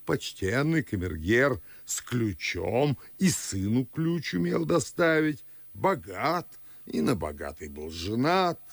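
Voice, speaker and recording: An actor playing a fussy, grumbling older Moscow father and official in a verse radio play, with drawn-out declamatory delivery. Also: mid-range voice, gravelly, no room sound, heavily acted. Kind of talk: theatrical audio drama in verse.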